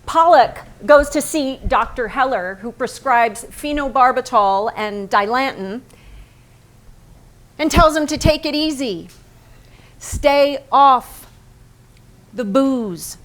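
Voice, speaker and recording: A painter's cerebral wife in a staged play reading. Her voice sits fairly high, and she delivers her words with precise, measured emphasis. She is unflinching and exact. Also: lively intonation, fairly bright tone, exaggerated voice. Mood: narrating. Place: staged reading in a barn venue.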